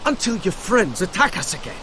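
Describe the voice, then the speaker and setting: An actor playing a gruff, hot-tempered, mistrustful male fighter in a video game. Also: sits high, very animated, a little fast, noisy background. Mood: suspicious, irritated. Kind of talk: dramatic video game acting.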